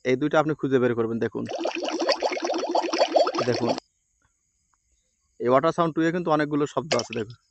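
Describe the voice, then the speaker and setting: Fast-talking man giving a tutorial. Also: medium pitch, lo-fi sound, very noisy. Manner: repetitive, instructional phrasing. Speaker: video editing tutorial presenter. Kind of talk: informal step-by-step screen tutorial.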